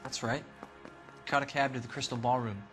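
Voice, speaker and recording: A brash young sailor in a 1940s crime game, acted by a man. He has a mid-range voice and answers questions defensively, in blunt slang. Music is clearly heard under his words. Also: medium pitch, fairly even intonation, normal speed, fairly bright tone, natural voice. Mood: uneasy. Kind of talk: video game cutscene acting.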